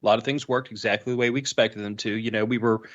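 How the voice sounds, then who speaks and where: A man's voice: fairly low pitch, steady tone, quick pace, a bit bright, muffled recording. A middle-aged man explaining his experiences casually, in a frank, folksy way. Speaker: podcast guest, prepper.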